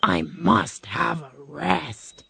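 A woman voicing a timid, weary male lion in a storybook, with a gravelly, husky growl and drawn-out delivery. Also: rumbling low, big pitch swings, bright, forceful tone, pitched below the performer's own voice, heavily acted.

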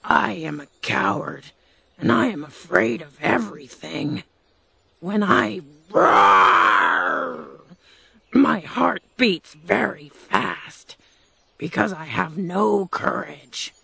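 Woman doing a gravelly, fearful cowardly lion. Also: lowish voice, big pitch swings, slow, sharp tone, exaggerated voice. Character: timid male cowardly lion, big and fearful. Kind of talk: storybook reading.

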